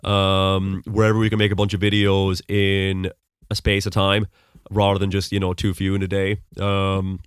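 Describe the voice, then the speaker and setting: A man's voice: low-pitched, monotone, normal speed, clean tone, no room sound, studio quality. A young Irish man with a fairly neutral accent that some hear as American, answering questions in a rambling, casual way with many ums. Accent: Irish with American-sounding notes. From Ireland, Mullingar, Westmeath. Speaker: podcast host being interviewed. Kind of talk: casual conversational interview.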